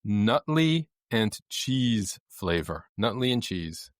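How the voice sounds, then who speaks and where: A man's voice: on the low side, big pitch swings, takes it slowly, no room sound, clean studio sound. A man co-hosting a podcast, casually chatting and reading items off packaging with a wry manner. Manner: wry, reads items off lists. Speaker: podcast co-host.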